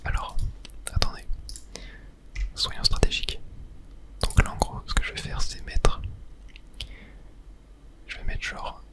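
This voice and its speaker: A gaming streamer speaks entirely in a soft, breathy whisper. The delivery is ASMR gameplay commentary, murmured as thinking aloud, with no voiced tone. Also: slow pace, long pauses.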